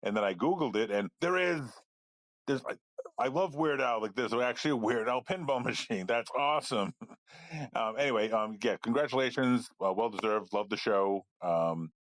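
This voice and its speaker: A man's voice: mid-range voice, normal speed, no room sound. A man leaving a casual, playful, rambling voicemail as a listener.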